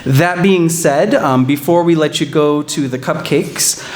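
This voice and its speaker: A man's voice: fairly high pitch, a little slow, a little mellow. A man hosting a ceremony informally, encouraging the audience and repeating words for emphasis. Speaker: ceremony host. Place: ceremony room before an audience. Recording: noisy hall with crowd murmur.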